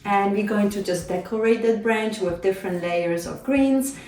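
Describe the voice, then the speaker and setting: A woman's voice: mid-range voice, moderate pace, darkish tone, reverberant, distant mic. Woman hosting a casual craft chat. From Poland. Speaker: host.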